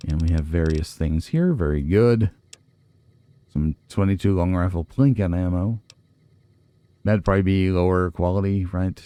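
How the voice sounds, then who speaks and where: A man's voice: deep voice, moderate pace, pauses often, dark tone, clear, resonant tone, no echo. A man giving casual gameplay commentary, chatty and folksy, musing aloud to himself. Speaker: let's play host.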